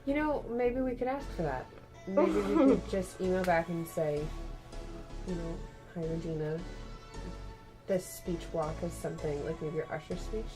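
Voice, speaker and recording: A woman's voice: fairly low pitch, normal speed, over music, rough recording. A woman chatting casually and upbeat, helping sort out a custom voice. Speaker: coworker helping with the voice. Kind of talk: casual chat.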